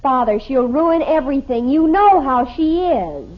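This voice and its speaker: Casting: whiny American teen daughter, extremely high voice, animated, theatrical, old-time radio comedy. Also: female voice, unhurried. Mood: pleading. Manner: whiny, melodramatic. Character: dramatic, self-pitying American teenage daughter. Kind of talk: 1950s American radio sitcom acting. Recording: dull old radio broadcast recording.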